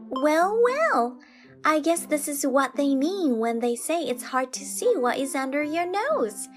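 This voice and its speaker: Woman voicing a smug, high-pitched, unhinged young villainess. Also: sing-song, unhurried, faint music behind. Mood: sly. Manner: taunting, mock-innocent, theatrical. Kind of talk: dramatized manga story dub.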